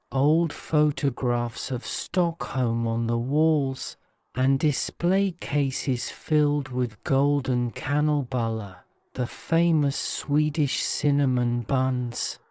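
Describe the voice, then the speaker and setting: A man narrating a guided-imagery sleep story in a soothing, measured manner. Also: mid-range voice, dark tone, clean tone, no echo, studio quality.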